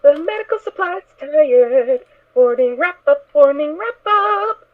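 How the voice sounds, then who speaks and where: A woman voicing a cheerful, naive little pony filly in an audio drama, with a squeaky, childlike, excitable way of talking. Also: slow, faint music behind. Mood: playful, stubborn. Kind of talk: fan audio drama character acting. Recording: thin, phone-like narrow sound.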